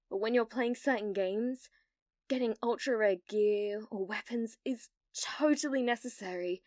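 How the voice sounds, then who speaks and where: A British woman playing a needy, awkward young gamer in an ASMR roleplay, with a halting, nervous manner. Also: mid-range voice, a bit bright, no room sound, studio quality. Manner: halting, flustered, breathy laughs and hesitations.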